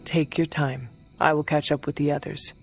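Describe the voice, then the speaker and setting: Male demon hunter, higher voice, formal, reserved, measured, game cutscene, light music behind. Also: flat delivery, creaky. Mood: calm, attentive. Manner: formal, measured, few contractions. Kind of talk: video game cutscene acting.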